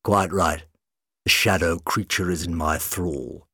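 Man playing a low, furious, pompous villain. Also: a little slow, pushed and bright, slightly rough, no echo, clean studio sound, full character voice. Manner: demanding, pompous. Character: furious, pompous male villain, hungry for treasure.

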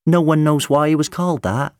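A man's voice: fairly high pitch, fairly quick, a little mellow, no echo, clean studio sound, pitched above the performer's own voice, natural voice. A man voicing an eager, chatty young boy who is earnest about his toys, in an audiobook story. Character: eager, bright young boy, a robot-toy enthusiast.

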